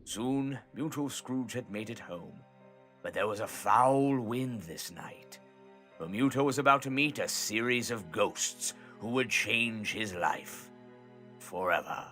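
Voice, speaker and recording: A man as a solemn storybook narrator with a low voice. He phrases each line dramatically and with measure, while music plays clearly behind him. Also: takes it slowly, pushed and bright. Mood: solemn, foreboding.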